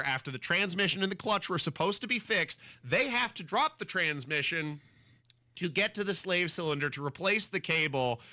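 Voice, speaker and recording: A man's voice: a little high, bright, forceful tone, dry recording, studio quality. A male radio talk show host with a slight cold, telling a story in an exasperated, sarcastic way with dramatic asides. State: fighting a cold, a little scratchy. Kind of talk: casual on-air storytelling. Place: radio studio.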